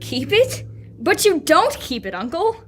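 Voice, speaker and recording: A cheerful, good-hearted young nephew in a radio play, acted by a young woman. The voice is high and swings in lively, earnest appeals. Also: measured pace, soft background music, full character voice. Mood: earnest protest. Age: teenager or young adult. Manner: earnest, pleading. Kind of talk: live old-time radio drama reading.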